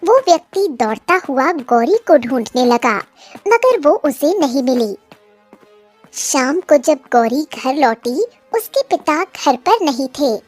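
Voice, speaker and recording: Female narrator for kids' cartoon tales, high pitch, slow pace, dry sound over light music. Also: very animated. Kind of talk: scripted children's story narration.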